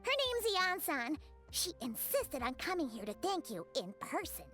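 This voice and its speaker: A tiny floating fairy girl who chatters and teases in a piercing, sky-high voice that swoops all over. Her tone is bright and sharp. Soft game music plays underneath. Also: very animated, a little slow, full character voice. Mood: chatty. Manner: chatty, teasing, scolding.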